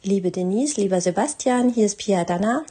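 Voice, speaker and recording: A woman leaves a reflective personal message as a guest on a podcast. Her voice sits at a medium pitch, soft-edged and dark, with a husky grain. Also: animated, moderate pace. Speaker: guest contributor, artist.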